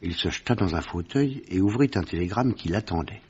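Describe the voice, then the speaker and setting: An actor playing a sober doctor who narrates a detective case in a measured, literary French radio drama style. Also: male voice, deep voice, expressive, a little mellow, breathy edge, exaggerated voice. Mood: sober, narrative. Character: sober male doctor recounting his detective friend's case. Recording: dull, muffled vintage radio recording.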